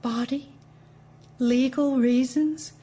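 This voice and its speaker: An actress playing a grieving, indignant widow in an audio drama, speaking in pointed, broken phrases. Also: female voice, high-pitched, steady tone, dark tone, a little hiss or hum. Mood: wounded, indignant. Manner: slow, fragmented, pointed phrases. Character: grieving, indignant young widow, a first lady.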